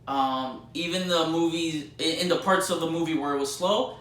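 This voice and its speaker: Excitable man stammering in a roomy echo. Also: fairly high pitch, normal speed. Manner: excitable, gushing, stammering with repeated words. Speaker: movie reviewer. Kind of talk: casual unscripted movie review vlog. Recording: roomy echo, faint background noise.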